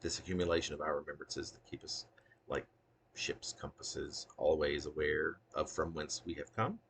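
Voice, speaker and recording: Male poet, deep and creaky, earnest deliberate delivery of his own verse with offhand asides. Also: a bit bright, a little hiss or hum. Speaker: poet reading own work. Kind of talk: spoken poetry reading with casual asides.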